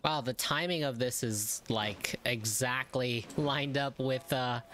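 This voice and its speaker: A woman's voice: very deep voice, fairly even intonation, slow pace, fairly bright tone, faint music behind. A woman giving casual, wry, joking commentary as she plays through a story game. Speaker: gamer reacting to a story quest. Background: game audio.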